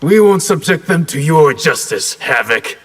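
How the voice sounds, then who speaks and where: A stern dismissive warrior. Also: male voice, fairly high pitch, sing-song, unhurried, background noise. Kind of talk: fighting game intro dialogue.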